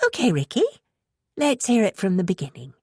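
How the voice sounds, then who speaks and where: A woman voicing an anxious, guilt-ridden mother in an audiobook, husky and carefully polite. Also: mid-range voice, big pitch swings, a little fast, a little mellow, dry recording, studio quality, voice pushed up. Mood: polite, strained. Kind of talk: audiobook character dialogue.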